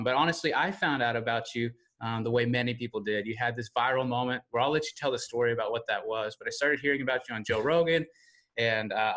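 Male American host, mid pitch, quick chatty delivery, many fillers. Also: fairly bright tone. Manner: rambling, many fillers, fast. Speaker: podcast host. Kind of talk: casual interview chat.

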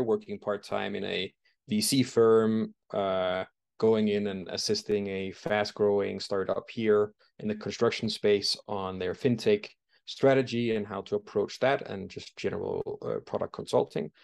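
A man in his thirties from Denmark speaks in a fairly low voice. He explains his work in a conversational interview, often pausing and restarting his sentences. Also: steady tone, vocal fry, darkish tone. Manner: thoughtful, frequent fillers and restarts while explaining. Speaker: startup founder and CEO.

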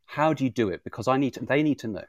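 A man's voice: medium pitch, expressive, racing, dry recording, lo-fi sound. A British man in an informal live conversation, speaking in a wry, effusive, mock-formal way. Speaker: audio drama writer, interviewee. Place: remote live podcast session.

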